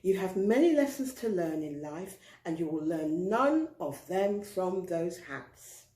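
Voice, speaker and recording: A woman voicing a worried, scolding mother pig in a children's story, measured and admonishing. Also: lowish voice, sing-song, warm tone, reverberant. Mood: stern worry.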